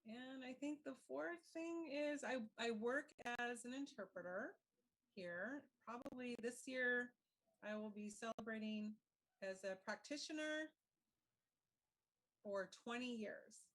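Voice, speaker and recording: A woman moderating and speaking on a discussion panel, talking in a measured, careful way. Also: on the high side, fairly bright tone, poor audio.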